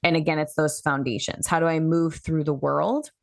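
Low, gravelly woman coaching. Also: steady tone, normal speed, dry recording, clean studio sound. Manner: explanatory, coaching tone. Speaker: human design coach, guest. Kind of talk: podcast interview.

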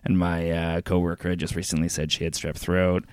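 A man's voice: low-pitched, level tone, brisk, smooth, dry recording, clean studio sound. A young man co-hosting a podcast, telling stories in a laid-back way with lots of fillers and laughs. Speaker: podcast co-host. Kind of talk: casual chat.

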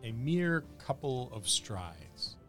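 A man narrating a tabletop fantasy game as game master, describing the action vividly and haltingly. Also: medium pitch, sing-song, fairly bright tone. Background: background music.

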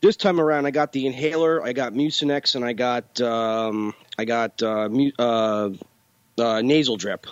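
A man's voice: mid-range voice, fairly even intonation, moderate pace, smooth, no echo, highs cut off. A young man with bronchitis, congested and rambling loosely as podcast co-host. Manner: rambling, filler-heavy, laid-back. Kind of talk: casual chat.

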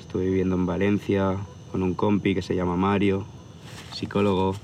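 A man's voice: deep voice, normal speed, slight background noise. A man of about thirty from near Barcelona, a tattoo artist chatting casually and rambling a little in an outdoor interview. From from near Barcelona, Spain. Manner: relaxed, rambling, with hesitations. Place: outdoor hilltop viewpoint at sunset. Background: crickets and outdoor ambience.

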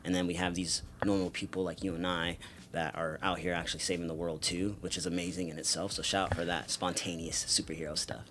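A man co-hosting a casual podcast, laid-back, often pausing with "um" and laughing. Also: sits low, flat delivery, a bit bright, slight background noise. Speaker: podcast co-host.

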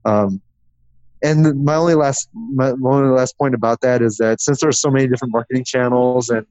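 A man's voice: medium pitch, steady tone, brisk, darkish tone, no room sound, highs cut off. A man speaking as a podcast guest in a casual interview, explaining things in a rambling way with lists. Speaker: podcast guest, growth marketer.

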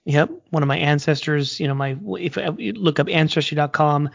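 A man co-hosting a casual podcast, talking in an excitable, slangy way full of "like" and "dude". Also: fairly high pitch, a little fast, creaky, lo-fi sound. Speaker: podcast co-host. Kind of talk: casual chat.

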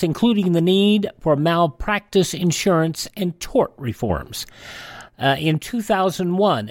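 A man's voice: on the high side, dry recording, clean studio sound. A man hosting a radio show, reading a scripted rundown of historical events.

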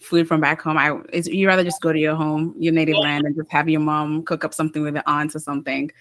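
A woman from Uganda who partly grew up in Kenya, co-hosting a podcast in a measured, thoughtful way. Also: sits low, little pitch movement, fairly bright tone, no echo. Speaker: podcast co-host. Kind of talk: casual interview chat.

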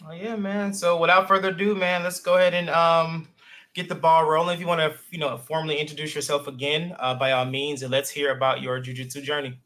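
Upbeat male podcast host, bright and forceful tone, somewhat high voice. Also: flat delivery, fairly quick. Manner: upbeat, friendly, says man and brother a lot. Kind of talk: casual interview hosting.

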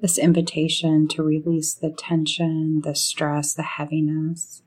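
A woman leading a guided meditation in a soothing, unhurried manner, pausing often between short phrases. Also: low-pitched, completely flat delivery, darkish tone.

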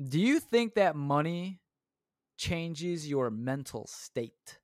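A man's voice: fairly high pitch, expressive, extremely slow, dry recording, clean studio sound. A young man hosting his own podcast, rambling crudely and mocking himself, swearing often. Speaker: podcast host. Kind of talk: solo comedic podcast rant.

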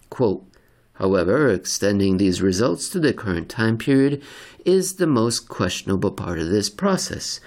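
A man narrating a scripted article as a podcast host, with theatrical, emphatic delivery and deliberate phrasing. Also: mid-range voice.

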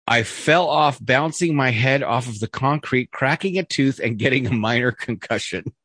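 A man in a casual podcast chat, reading a list aloud and breaking off into jokey asides. His voice sits at an ordinary middle pitch, full of "uh"s and restarts. The sound is dry and close, with no room around it. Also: normal speed, fairly bright tone, no room sound. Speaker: podcast co-host.

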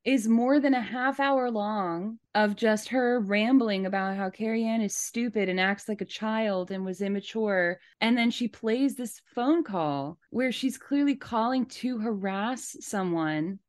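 A woman's voice: a little high, unhurried, hardly any pauses, dry recording. A woman hosting a podcast, giving casual, opinionated commentary in an emphatic, rambling way. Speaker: podcast host.

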